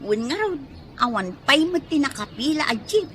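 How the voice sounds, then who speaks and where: High-voiced, aloof young woman. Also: sing-song, normal speed, crowd noise. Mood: indifferent. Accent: Ilocano. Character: aloof, snappy young woman being courted. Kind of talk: Ilocano radio drama acting.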